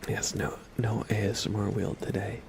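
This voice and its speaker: A low-voiced man with a lot of vocal fry, hosting a relaxed ASMR livestream. He speaks softly, often drifting into a whisper, and sounds a little under the weather. There is clear background noise around his voice. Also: moderate pace. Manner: soft-spoken, often slipping into whispers. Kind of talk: ASMR livestream, casual chat with viewers.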